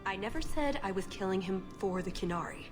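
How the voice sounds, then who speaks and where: A woman's voice: mid-range voice, lively intonation, a little fast, lightly acted. A woman acting in a video game as an earnest, idealistic young believer who speaks sincerely and persuasively. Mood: defensive, earnest. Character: earnest idealistic young woman, devoted member of a strict order. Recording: game audio, long reverb, music underneath. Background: music.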